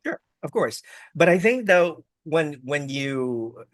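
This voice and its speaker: A man's voice: fairly high pitch, sing-song, slow, dry recording. A man hosting an interview over a video call, rambling and friendly, often repeating words as he gets his thoughts out. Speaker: interviewer, host. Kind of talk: casual interview chat.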